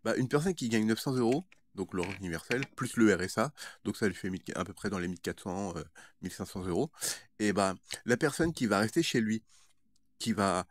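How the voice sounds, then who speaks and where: A man's voice: fairly low pitch, expressive, a little fast. A man talking casually to his viewers as a vlogger, in a rambling, hesitant way with frequent stammered repetitions of words. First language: French.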